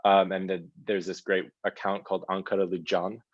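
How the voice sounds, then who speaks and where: Male panelist: very low and monotone, thoughtful and searching, on a muffled video call. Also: fairly quick, dry recording. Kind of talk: casual panel discussion.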